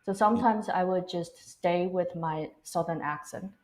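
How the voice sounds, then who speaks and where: A woman's voice: lowish voice, normal speed, a little mellow, poor audio. A woman from Taiwan, a native Mandarin speaker, chatting casually on a livestream in English with an American-influenced Taiwanese accent. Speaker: language teacher co-hosting a livestream. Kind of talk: casual live-stream discussion.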